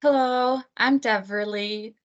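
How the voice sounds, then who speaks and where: A woman's voice: fairly high pitch, fairly even intonation, takes it slowly, lo-fi sound. Woman reading a scripted book talk. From United States (Texas). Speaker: book selection editor. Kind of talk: prepared book talk presentation.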